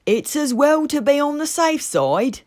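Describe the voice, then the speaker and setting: A woman's voice: sits high, sing-song, measured pace, a little mellow, pitched above the performer's own voice. Woman voicing a stern, raised-voice storybook rat. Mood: practical. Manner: firm, emphatic commands. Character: stern, practical, bossy male water rat. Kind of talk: children's storybook character reading.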